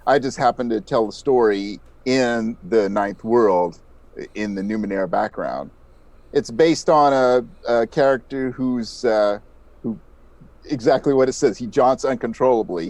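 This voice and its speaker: Male interview guest, lower register, conversational and explanatory, dry sound with no room echo. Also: darkish tone. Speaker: interview guest, audio drama podcast creator.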